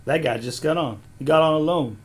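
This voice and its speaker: A man's voice: fairly high pitch, a little fast, lightly acted. A man acting a wry, tippling old-west train passenger with a folksy, needling drawl in an audio drama.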